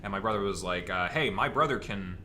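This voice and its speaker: A man chatting casually and telling a rambling anecdote in a halting way, often cutting himself off. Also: lowish voice, animated, pushed and bright, slight background noise. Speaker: panelist on a fan Q&A show.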